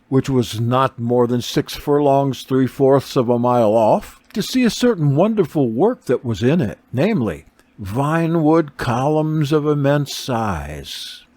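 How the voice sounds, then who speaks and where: A man's voice: medium pitch, a little mellow, dry recording. A man narrating a religious audiobook from a script.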